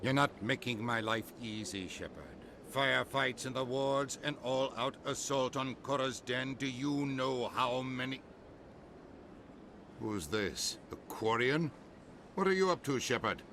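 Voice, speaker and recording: An actor playing a stern, exasperated older ambassador in a video game. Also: male voice, medium pitch, a little slow, fairly bright tone, lightly acted. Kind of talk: video game character acting. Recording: clearly noisy game ambience behind.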